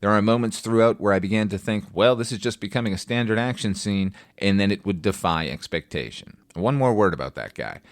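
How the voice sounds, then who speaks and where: A man with a very low-pitched voice presents a film review in a conversational but prepared style. His delivery is wry and opinionated, with emphatic little asides. The sound is dry and close, free of room reflections. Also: expressive, a little fast, hardly any pauses, no echo. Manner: casual swearing. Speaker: film review podcast host.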